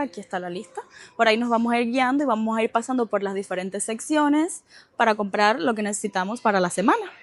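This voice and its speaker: A woman's voice: on the high side, dry recording. A woman with a Cuban accent hosting a vlog, chatting casually and expressively to the camera. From Cuba. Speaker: vlog host.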